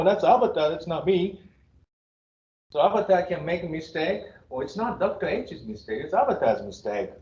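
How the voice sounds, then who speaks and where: A man's voice: on the high side, darkish tone. Japanese-accented man teaching in an echoey room. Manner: chatty and joking, halting with fillers. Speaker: university professor. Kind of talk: casual first-day class talk. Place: classroom. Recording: echoey, dull classroom recording with faint hiss.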